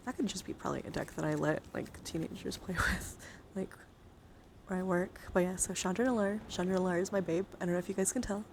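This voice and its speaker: A woman hosting a casual ASMR livestream, chatting playfully and coaxingly and often slipping into breathy whispers. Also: lowish voice, fairly even intonation, fast talker. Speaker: livestream host. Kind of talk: casual chat and ASMR handcam stream. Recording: clearly audible background noise.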